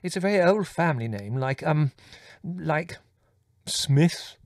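A man's voice: a little high, expressive, slow pace, no room sound, clean studio sound, voice pushed up. A man voicing a flustered, vague mother who talks in a fluttery way.

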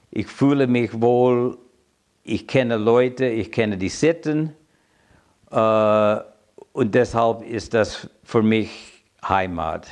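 A man in his seventies with an American accent and English as his first language, giving a reflective personal account and haltingly searching for words. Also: fairly low pitch. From United States. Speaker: interviewee telling his migration story. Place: quiet room indoors.

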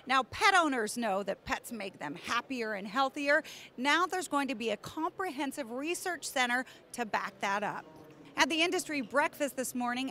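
A woman in her thirties hosting a trade show news report with crisp, upbeat broadcast delivery, speaking into a handheld mic on a convention floor. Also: high-pitched, pushed and bright. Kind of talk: scripted news presenting.

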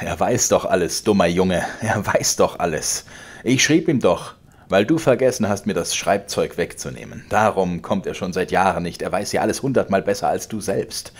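A man reading a story voices a domineering old father who rants loudly, mocking and accusing his son. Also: sits low. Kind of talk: audiobook character voice.

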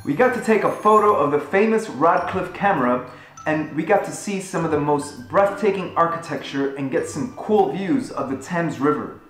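Upbeat travel vlogger, roomy echo. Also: male voice, on the high side, expressive, normal speed, fairly bright tone, faint music behind. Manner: upbeat and conversational. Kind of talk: casual travel narration. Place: living room at home, indoors.

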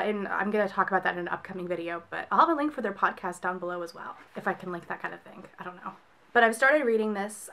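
Young Texan woman vlogger, hoarse from a cold; casual, rambling chat to camera. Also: medium pitch, a bit bright. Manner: chatty, rambling, with frequent laughs. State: soft, scratchy voice from a cold. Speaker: book and beauty vlogger. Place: small room in front of bookshelves, indoors.

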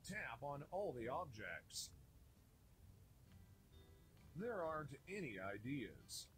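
A man's voice: medium pitch, a bit bright, a little hiss or hum. A man narrating a children's game from a script, giving simple instructions for young kids.

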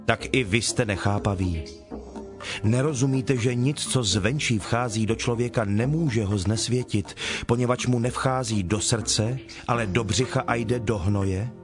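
An actor playing Jesus as a stern, earnest teacher in a dramatized scripture reading, with measured, emphatic delivery. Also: male voice, medium pitch, natural voice. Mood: earnest, instructing. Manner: measured, emphatic, solemn declamation. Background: music.

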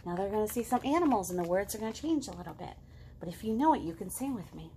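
A woman in her thirties reading aloud to young children as a storytime host, expressive and full of questions to draw them in. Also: medium pitch, fast, slightly rough. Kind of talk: animated picture-book read-aloud for young children. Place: living room at home.

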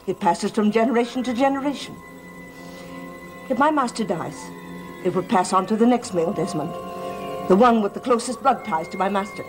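An older actress playing a stern servant, fiercely loyal to her master, in a gothic soap opera, speaking gravely and emphatically. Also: mid-range voice, moderate pace, long pauses, lightly acted, rough recording. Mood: ominous. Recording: vintage TV soundtrack with music underneath.

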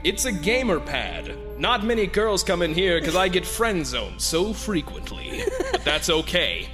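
A man's voice: high voice, big pitch swings, a little slow. Man playing a smug, swooping gamer villain hedgehog. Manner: boastful, cackling, theatrical. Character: smug, self-pitying gamer villain, dark male cartoon hedgehog. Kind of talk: improvised comedy fan dub. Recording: loud music behind.

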